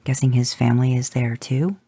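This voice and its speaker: A take-charge hunter speaking firmly and urgently, as a male reader voices him. The voice is husky and gravelly, recorded dry and close. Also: mid-range voice, steady tone, fairly quick, dark tone, no room sound.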